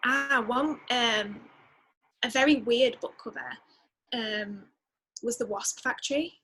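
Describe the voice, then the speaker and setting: A woman's voice: on the high side, takes it slowly, fairly bright tone, husky, poor audio. A woman speaking as a guest in a casual interview, enthusiastic and emphatic, repeating words for emphasis. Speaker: podcast guest, musician.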